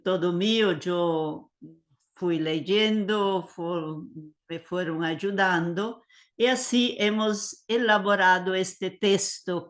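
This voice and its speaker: Older woman, unhurried halting online lecturer. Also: fairly low pitch. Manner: hesitant, many fillers and Italian words. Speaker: liturgy teacher. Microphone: video call.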